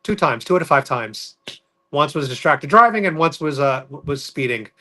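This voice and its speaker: Male podcast guest from New York, bright and forward tone, level matter-of-fact delivery. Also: a little high, animated, normal speed. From New York City. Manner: matter-of-fact, orderly storytelling. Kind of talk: casual podcast interview.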